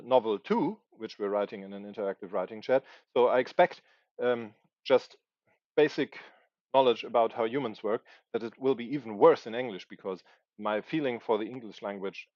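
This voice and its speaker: A man's voice: fairly low pitch, moderate pace, no room sound, highs cut off. A man in his forties whose first language is German, thinking aloud as he writes on a livestream, hesitant and searching for words. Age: 40s. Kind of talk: thinking aloud while writing live.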